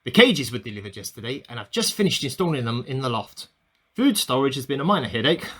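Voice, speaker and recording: A man's voice: mid-range voice, sing-song, fast, fairly bright tone, husky. A man playing an eccentric amateur scientist who earnestly records a self-important secret diary in a radio play. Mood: matter-of-fact. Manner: earnest, self-important, musing aloud in dated log entries.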